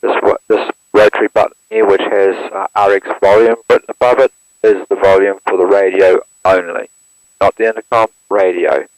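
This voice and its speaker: A man, walking through how to operate an aircraft radio step by step in a plain, steady manner, recorded through an intercom headset. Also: low voice, normal speed, no room sound, rough recording. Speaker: instructor demonstrating an aircraft radio. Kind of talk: hands-on equipment walkthrough.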